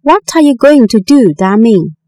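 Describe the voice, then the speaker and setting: A woman narrating a textbook listening exercise, reading instructions and sentences with slow, careful enunciation. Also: fairly high pitch, very animated, dark tone, no room sound, clean studio sound.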